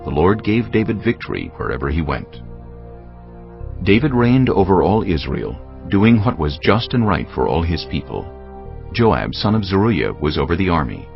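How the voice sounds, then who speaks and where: A man narrating scripture from a script in a solemn, deliberate storytelling manner. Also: deep voice, big pitch swings, gravelly. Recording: dull lo-fi audio over music.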